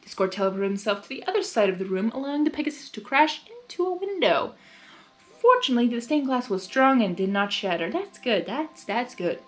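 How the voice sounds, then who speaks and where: High-pitched expressive woman reading fan fiction. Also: a little fast. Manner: giggly, expressive. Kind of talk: blind story reading with casual reactions. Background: music.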